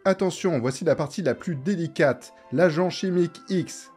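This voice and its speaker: A man's voice: a little high, normal speed, over music. A man presenting a scripted comedic video essay in a sarcastic, informal way, as a YouTuber.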